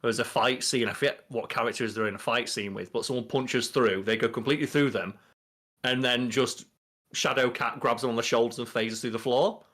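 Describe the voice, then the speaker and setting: A man's voice: medium pitch, fairly even intonation, a little fast, fairly bright tone. A man, around his thirties, co-hosting a casual podcast, explaining things in an emphatic, sweary way. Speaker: podcast co-host. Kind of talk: casual banter, explaining pop culture.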